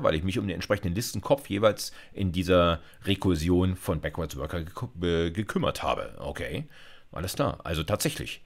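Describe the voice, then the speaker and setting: A middle-aged man giving an informal programming lecture, explaining step by step and addressing listeners directly in a conversational way. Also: deep voice. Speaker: university lecturer. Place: indoor recording setup, screencast lecture.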